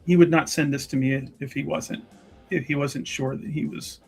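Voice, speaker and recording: A man plays a composed fantasy noblewoman in a tabletop roleplaying game, pitched a little high. The words come out measured and halting, diplomatic yet pointed. Faint music runs underneath. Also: fairly even intonation, vocal fry, slightly rough. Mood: earnest. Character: composed noblewoman confronting a rival mage, courteous but pointed. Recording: music behind the voice.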